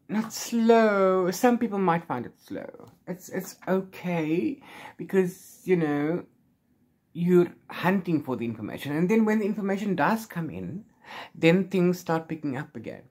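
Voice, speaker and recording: A man's voice: sits high, big pitch swings, unhurried, darkish tone, husky. A man in his forties chatting casually to camera as a book vlogger, playful and rambling, often correcting himself, recorded at arm's length in a room at home. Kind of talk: casual unscripted chat to camera. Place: small room at home with bookshelves.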